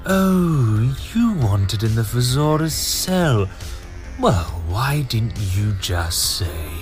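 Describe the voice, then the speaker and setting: Man playing a slow, gloating alien villain. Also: mid-range voice, sing-song, faint music behind. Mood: mock innocent. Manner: theatrical, mocking, drawn-out delivery. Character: sadistic, gloating, campy male alien villain collector. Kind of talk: audio drama acting.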